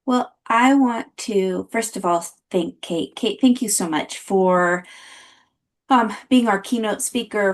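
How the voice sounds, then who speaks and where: A woman moderating an online conference session, calling on people and thanking the speaker. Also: on the low side.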